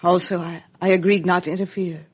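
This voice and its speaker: A woman playing a regretful middle-aged actress confessing to her abandoned child in a 1950s radio soap, halting, earnest and dramatic, with a husky voice. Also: fairly low pitch, little pitch movement. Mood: pleading. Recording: dull lo-fi 1950s radio recording.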